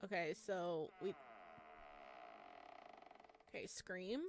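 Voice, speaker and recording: A woman's voice: fairly low pitch, steady tone, very slow. A woman doing a casual let's-play, reading game narration aloud dramatically and commenting as she goes. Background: music.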